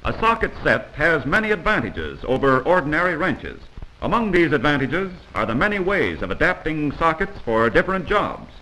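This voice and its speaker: A man's voice: a little high, very animated, normal speed, pushed and bright, a little hiss or hum, rough recording. A man narrating a 1940s instructional film from a script, in an instructive, emphatic manner on a vintage film soundtrack.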